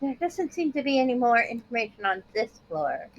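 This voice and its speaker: A woman voicing a calm, protective magic-user who speaks formally and courteously in a tabletop role-play. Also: on the high side, brisk, highs cut off, slight background noise. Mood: cautious.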